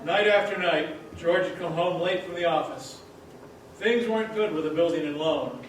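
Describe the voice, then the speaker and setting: A man playing a solemn senior angel in a radio play, telling a story in measured fashion on a theater stage. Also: on the high side, close to an everyday voice. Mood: solemn, narrating. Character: solemn senior angel recounting a man's life. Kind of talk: old-time radio play acting on stage. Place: theater hall, distant mic. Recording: distant echoey stage recording, faint noise.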